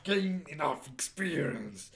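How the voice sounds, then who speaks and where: Young male let's-play narrator, unhurried and halting, lively pitch, reads game dialogue aloud. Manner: hesitant, many fillers and restarts, reads dialogue in flat voice. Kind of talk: casual gameplay commentary, reading game text aloud.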